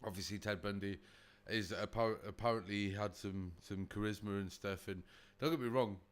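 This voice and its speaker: A man with a boomy voice, chatting casually and profanely on a live stream, laughing often, close to his desk mic. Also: fairly even intonation, normal speed, clear, resonant tone. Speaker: livestreamer reacting to videos. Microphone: desk mic close to face.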